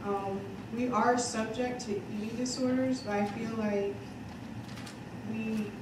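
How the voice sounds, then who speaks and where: A woman's voice: on the high side, fairly even intonation, takes it slowly. A young woman in her twenties speaking casually and candidly as a student on a college panel. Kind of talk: panel talk to an audience. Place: large hall at a college panel table. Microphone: handheld mic, heard from afar. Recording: echoey hall, distant mic, hiss.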